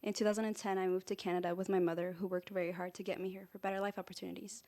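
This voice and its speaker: A woman's voice: mid-range voice, flat delivery, rapid-fire, warm tone. A teenage girl from Mexico giving a composed, prepared speech as a student presenter. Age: teens.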